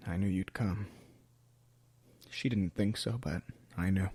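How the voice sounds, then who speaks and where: A man's voice: low-pitched, unhurried, mellow, reverberant. A man playing an anguished, lovesick court clown in a radio play, with a theatrical, poetic, brooding delivery. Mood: quietly resigned.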